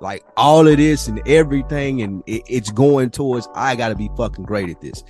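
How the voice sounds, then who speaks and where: A man's voice: mid-range voice, normal speed, darkish tone. Guy doing a podcast, casual n emphatic, swears a lot, midrange voice, bit of music behind him. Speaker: podcast host. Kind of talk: casual chat.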